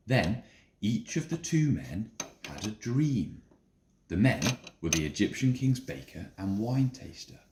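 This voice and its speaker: A man's voice: fairly low pitch, a little mellow. A man narrating a Bible story as a dramatic scripted reading. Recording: echoey room, faint noise and clicks.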